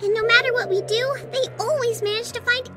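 A woman's voice: extremely high pitch, steady tone, brisk. A woman voicing a small, timid, apologetic sprite helper with a flustered, hesitant manner in a cartoon fan dub. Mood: distressed pleading. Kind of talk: animated comic fan dub. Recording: music behind.

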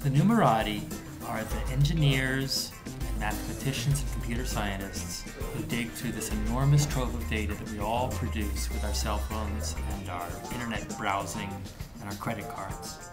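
The middle-aged author in an office interview, leaning into every explanation while music plays behind him. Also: male voice, on the low side, normal speed. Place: indoors in an office room.

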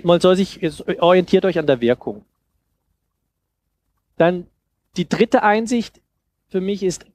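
A man in his thirties giving an informal, explanatory workshop talk into a headset mic, often halting and repeating words. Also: a little high, a little mellow. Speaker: speaker giving a talk on sound design.

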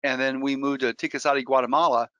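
A man's voice: mid-range voice, fairly even intonation, fast, a bit bright, clean tone, no room sound, highs cut off. A middle-aged man, a real estate investor, giving an earnest webinar talk and telling stories with frequent restarts. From Colombia. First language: Spanish. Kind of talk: webinar presentation.

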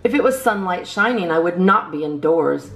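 A woman with a low, creaky voice reads children's verse aloud as a storytime host. Her phrasing is measured and expressive, and soft guitar music plays behind her. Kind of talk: read-aloud of children's poems.

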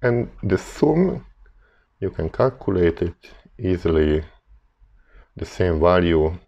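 A very deep-voiced man with a Hungarian accent talks slowly through a hands-on calculator lesson. His English is careful and halting, with frequent "uh" pauses. The audio sounds slightly dull and lo-fi. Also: big pitch swings, creaky, warm tone. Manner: halting, many "uh" fillers, careful non-native English. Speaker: calculator tutorial maker. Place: desk indoors, filming paper and calculators.

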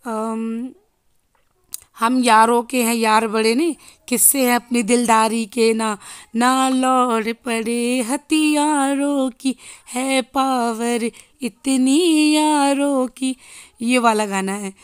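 A woman in her thirties with a hoarse voice, chatting casually to viewers in a home vlog, filmed on a handheld phone. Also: fairly high pitch, steady tone, slow pace, no room sound, studio quality. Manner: chatty, addressing viewers directly. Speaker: vlogger. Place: home kitchen and living room. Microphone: handheld phone selfie.

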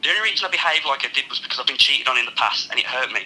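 A man's voice: mid-range voice, expressive, racing, a loud crowd, rough recording. A young man acting in a radio drama, playing a pleading, manipulative ex-boyfriend through a telephone filter. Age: young adult. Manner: pleading, persuasive.

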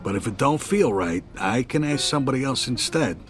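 An actor playing a middle-aged American mob underboss, friendly but calculating, with casual streetwise tough talk in a crime game. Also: male voice, mid-range voice, animated, a little fast, over music, natural voice. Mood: scheming. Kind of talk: cinematic crime game dialogue.

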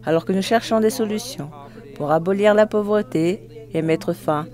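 An interpreter giving a live spoken translation of a formal speech, phrase by phrase, with halting self-corrections. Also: medium pitch, a little mellow, faint music behind.

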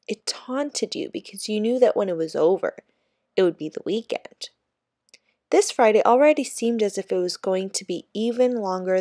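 A woman narrates a children's chapter book as a teacher would for a class. Her mid-pitched voice is measured and expressive, and the recording is dry and close. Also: dry recording. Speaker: teacher reading aloud.

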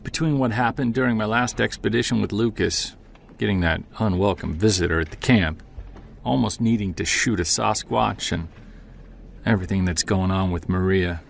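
Worn-out investigator, audio drama, lowish pitch, confiding and hesitant, faint ambient noise. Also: male voice, creaky. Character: weary, stressed male Bigfoot investigator recording an audio log. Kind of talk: audio drama, confessional narration.

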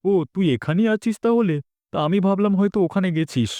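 A village shopkeeper and father in a cartoon, voiced by a man, speaks in a high but mellow voice. He sounds concerned as he informs, in a dry recording. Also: brisk, dry recording, lightly acted. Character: village shopkeeper father bringing worried news to son. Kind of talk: cartoon dialogue acting.

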